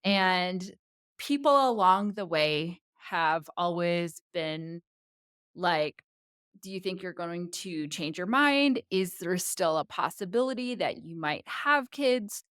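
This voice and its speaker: A woman's voice: on the low side, lively intonation, takes it slowly, a bit bright, clear, resonant tone, dry recording, studio quality. A woman in her forties from the United States, whose first language is Tagalog, talking candidly and reflectively to camera about her own life. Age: 40s. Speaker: vlogger. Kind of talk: casual personal talk to camera.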